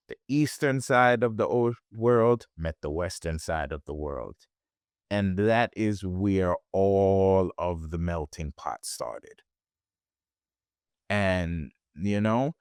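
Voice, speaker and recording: A man's voice: low-pitched, clean tone, no echo, studio quality. A man from Jamaica, a guest on a podcast, talking in a reflective way with frequent restarts. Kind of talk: conversational interview.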